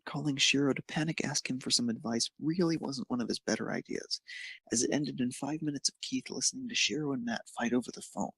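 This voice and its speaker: A man's voice: medium pitch, vocal fry, fairly bright tone, husky, no room sound, poor audio. A man reading scripted fan fiction narration, occasionally stumbling over the words.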